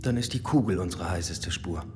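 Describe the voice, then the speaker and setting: An actor playing a brisk, impatient police inspector in a radio drama, terse, probing and businesslike. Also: male voice, low-pitched, background noise, close to an everyday voice.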